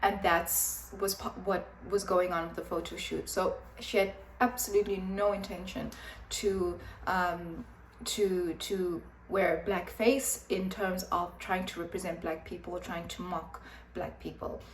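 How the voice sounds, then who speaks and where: A woman's voice: lowish voice, fairly even intonation, unhurried, a little hiss or hum. Young woman from Zimbabwe, blunt vlog commentary, roomy echo, sits back from the mic. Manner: frank, emphatic, with frequent fillers. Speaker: vlogger. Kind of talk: opinion commentary to camera. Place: room with background noise. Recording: echoey room, voice from a distance.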